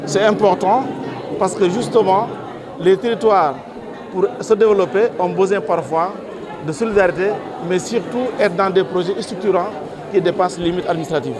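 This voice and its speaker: A man's voice: sits high, normal speed. A man, a technical agency official, explaining things formally and methodically to the press. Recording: busy crowd behind, public space.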